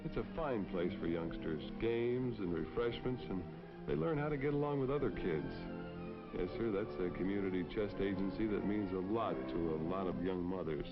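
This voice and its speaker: Low-voiced folksy American policeman, old film. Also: normal speed. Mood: earnest, warm. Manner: folksy, earnest, gently persuasive. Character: kindly, earnest neighborhood policeman, a man. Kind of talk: 1940s propaganda film acting and narration. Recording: dull vintage film soundtrack, music behind. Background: music.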